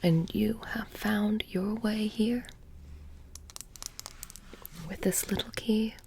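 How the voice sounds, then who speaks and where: A woman playing a sly, mysterious witch shopkeeper in a soft-spoken ASMR roleplay, hushed and breathy, slipping in and out of whispers. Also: medium pitch, fairly even intonation, slow, slight background noise, voice pushed up. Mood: mysterious, haughty.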